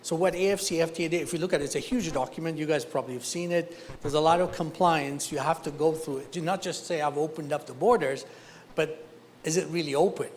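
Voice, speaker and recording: A man from Ethiopia answers a panel question, his voice sitting a little high. He talks like an investor, grounding each point in concrete examples. Faint background noise runs under the recording. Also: fast. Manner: practical, explains with concrete examples.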